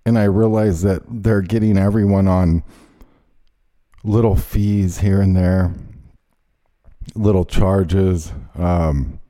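Low-voiced man ranting as show host. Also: steady tone, takes it slowly, long pauses, mellow. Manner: rambling, indignant, many fillers. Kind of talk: ranting casual chat.

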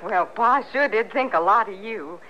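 Young ranch woman, sharp bright voice, American, earnest, guarded, plainspoken, muffled radio drama. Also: medium pitch, lively intonation, moderate pace. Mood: earnest, welcoming. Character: guarded but hospitable young frontier woman. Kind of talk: old-time radio western acting. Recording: muffled vintage radio recording with noise.

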